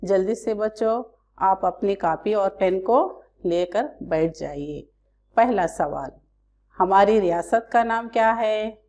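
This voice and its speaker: A woman's voice: medium pitch, slow, a little mellow, muffled recording. A woman teacher from India giving an online class, asking pupils questions and explaining carefully.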